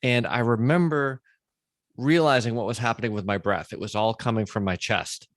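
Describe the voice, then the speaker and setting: A man's voice: mid-range voice, moderate pace, no echo, clean studio sound. A middle-aged man hosting a podcast interview in a conversational way, recapping points and checking he has understood.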